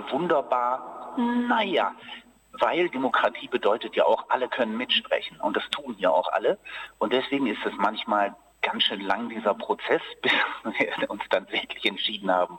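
Deep-voiced man, chatty, hesitant. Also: a bit bright, far from the mic, slight background noise, rough recording. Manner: chatty, many hesitations, laughs easily. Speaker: actor, theater group founder being interviewed. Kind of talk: relaxed interview chat.

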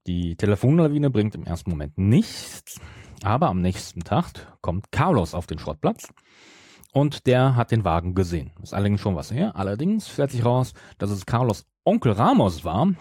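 A middle-aged man with a low voice that moves up and down a lot. He talks casually as a podcast co-host, stumbling and correcting himself along the way. The sound is close and dry. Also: big pitch swings, moderate pace, a little mellow, breathy edge, no room sound. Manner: hesitant, self-correcting, many broken-off restarts. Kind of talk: casual podcast talk, retelling a story.

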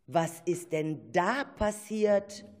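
A woman narrating a children's radio play in an expressive, drawn-out storytelling manner. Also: on the low side.

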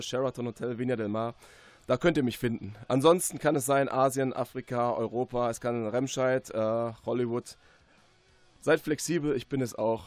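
A man's voice: medium pitch, a little mellow, no echo. Man in radio interview, music behind him. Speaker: interview guest, fantasy author. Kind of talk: casual radio interview talk.

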